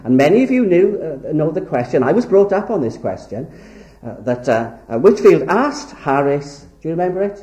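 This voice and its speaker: A man preaching in an echoey hall, his voice mellow and dark yet swinging widely in pitch. He speaks earnestly, stretching out key words for emphasis. He grew up in Wales. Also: big pitch swings, moderate pace. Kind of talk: sermon lecture.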